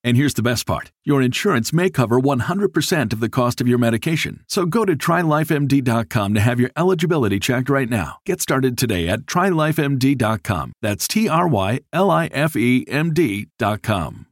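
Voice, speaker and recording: A man's voice: mid-range voice, sing-song, moderate pace, hardly any pauses, no echo, clean studio sound. A man reading a scripted commercial as an announcer. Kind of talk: scripted ad read.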